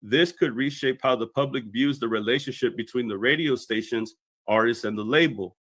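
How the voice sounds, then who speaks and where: A man's voice: mid-range voice, normal speed, fairly bright tone, no room sound. A man delivering scripted explanatory commentary as a video commentator.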